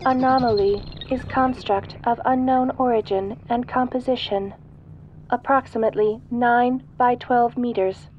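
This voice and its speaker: A woman's voice: fairly high pitch, steady tone, measured pace, dull audio, faint music behind, lightly acted. A woman voicing an impassive spaceship computer, giving formal, clipped announcements in a sci-fi audio roleplay. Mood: impassive, warning. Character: impassive female ship computer, procedural, alarm-giving machine.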